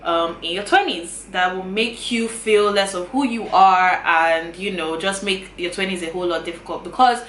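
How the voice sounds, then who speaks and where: A woman's voice: lowish voice, normal speed, hardly any pauses, a little hiss or hum. A woman in her twenties talking casually to camera, giving earnest advice and often repeating her phrases. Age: 20s. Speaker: YouTuber. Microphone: camera mic. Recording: bright, roomy sound with faint ambience.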